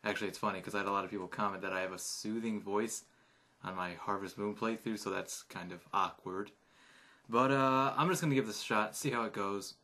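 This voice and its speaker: A man's voice: fairly low pitch, steady tone, a little fast, sharp tone. A man casually rambling his opinions on video games, chatty and offhand with many fillers. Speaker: gaming YouTuber.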